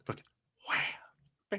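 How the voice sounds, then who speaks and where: A man hosting a film podcast in casual banter, joking and swearing freely in an informal way. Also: very high voice. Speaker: podcast host.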